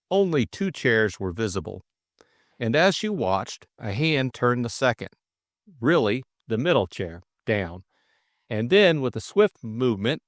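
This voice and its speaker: A man's voice: medium pitch, a bit bright, dry recording, studio quality. A man reading a classic mystery audiobook as scripted dramatic narration.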